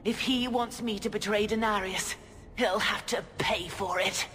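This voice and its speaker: A calculating villain sorceress from a fantasy game, acted by a woman, speaks in a medium-pitched, haughty, deliberate voice. Her words ring with long reverb over background music. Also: steady tone, fairly bright tone, lightly acted. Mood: wary, haughty. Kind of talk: dramatic video game voice acting. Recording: reverberant hall sound with music behind.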